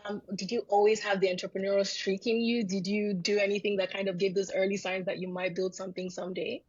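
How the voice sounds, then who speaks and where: Podcast host, woman, husky, upbeat, slightly dull lo-fi sound. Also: medium pitch, little pitch movement, fast, darkish tone. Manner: enthusiastic, effusive introductions. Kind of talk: podcast interview hosting.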